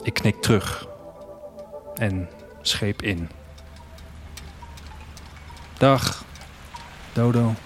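A man's voice: on the low side, drawn-out words, long pauses, faint music behind. A man acting as a wistful, restless traveller, narrating an audio drama in a reflective, literary first-person style. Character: wistful, restless traveller leaving his future city. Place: studio, music behind.

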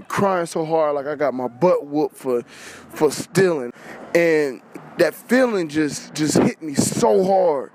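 A man shares personal stories in an informal, earnest way as a podcast guest. His voice is a bit higher than average and he repeats phrases for emphasis. There is faint noise in the recording. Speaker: podcast guest, business owner.

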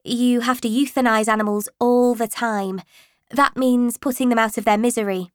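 A frank young female vet, acted by a woman reading a novel. She speaks in a dry, matter-of-fact way with a lightly high voice. The audiobook sound is close and free of echo. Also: fairly even intonation, moderate pace, close to an everyday voice.

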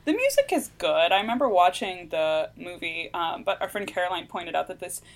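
A woman's voice: lowish voice, sing-song, fairly quick. A woman co-hosting a casual, unscripted chat about television, offhand and opinionated in how she talks. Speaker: co-host of a TV discussion show.

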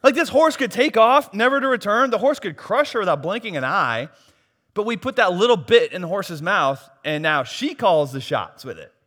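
A pastor preaching a sermon, losing his voice and swinging from soft asides to loud, emphatic points. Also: high-pitched, expressive, moderate pace. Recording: long hall reverb.

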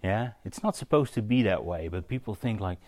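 A man giving an informal, story-led sermon as a preacher, halting and conversational, often checking in with his listeners. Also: fairly low pitch, darkish tone, no echo. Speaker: preacher teaching on spiritual gifts.

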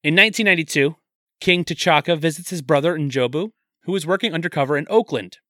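A man's voice: a little high, quick pace, pushed and bright, no echo, studio quality. A man co-hosting a casual movie podcast, retelling the plot with easy laughs. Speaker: podcast co-host.

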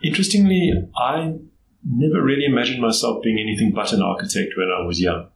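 A middle-aged man with a South African accent, answering interview questions in a thoughtful, measured way with pauses to choose his words. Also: mid-range voice, gravelly. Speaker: guest. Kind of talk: interview conversation. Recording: echoey room, faint background noise.

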